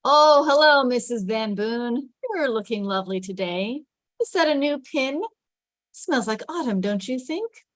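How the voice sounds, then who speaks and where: A woman's voice: fairly high pitch, lively intonation, a little slow, no echo, voice pushed up. Woman reading a wisecracking young male office worker. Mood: ingratiating.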